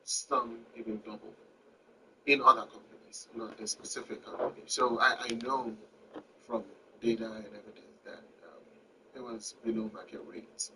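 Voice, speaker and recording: A man's voice: medium pitch, lively intonation, normal speed, vocal fry, sharp tone. A man in his thirties from Ghana with a Ghanaian accent, telling a personal story in a reflective, candid way. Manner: reflective, candid, with frequent um fillers. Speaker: vlogger. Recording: distant mic with faint background noise.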